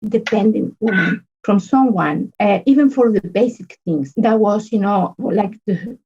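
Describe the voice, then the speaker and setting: A woman, an earnest podcast guest talking in halting phrases full of restarts and fillers. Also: mid-range voice, a little mellow, husky, dull audio. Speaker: podcast guest, business consultant. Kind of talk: casual interview conversation.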